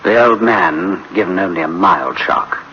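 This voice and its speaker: An actor playing a grave, anxious engineer in a science fiction radio drama, with an emphatic theatrical delivery. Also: male voice, sits low, animated, a little slow, pushed and bright, exaggerated voice. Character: anxious, grave male engineer, dreading disaster. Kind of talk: dramatic sci-fi radio acting. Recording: dull, faintly noisy old radio recording.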